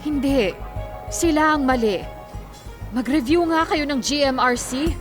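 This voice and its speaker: An actress playing a kind but firm young office worker in a Filipino radio drama, friendly and assertive. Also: female voice, high voice, a little slow. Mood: indignant, firm. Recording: music bed under dialogue.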